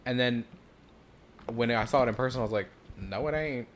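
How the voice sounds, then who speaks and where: A woman's voice: brisk, a crowd in the background. A young woman with a Southern US accent, co-hosting a podcast, telling frank stories bluntly and laughing often. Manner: blunt storyteller, laughs often, big pitch swings. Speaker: podcast co-host. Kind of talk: casual chat.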